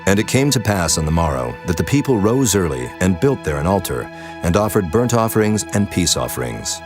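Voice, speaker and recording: Scripture narrator: man, low-pitched, solemn, measured formal read over a clear music bed. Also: very animated. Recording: prominent music behind the voice.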